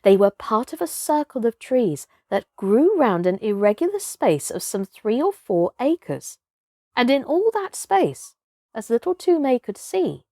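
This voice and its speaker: Female storybook narrator: fairly high voice, expressive and dramatic, close dry sound. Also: dry recording. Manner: dramatic, varies between hushed and emphatic. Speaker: storybook reader, performer.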